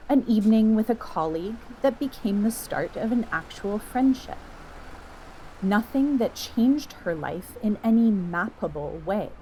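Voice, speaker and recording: Slow-paced woman narrating a reflective story. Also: medium pitch, dark tone, low-quality recording. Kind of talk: scripted literary story narration.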